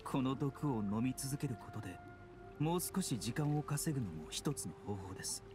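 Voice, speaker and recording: An actor playing a refined young doctor in an anime game, polite, gentle and measured, with clinical gravity. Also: male voice, medium pitch, animated, lightly acted. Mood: grave, composed. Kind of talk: Japanese anime game acting. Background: background music.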